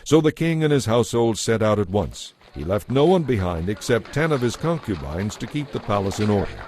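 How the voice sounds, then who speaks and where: A man's voice: fairly low pitch, very animated, fairly quick, a little mellow. A man narrating a dramatized Bible story from a script.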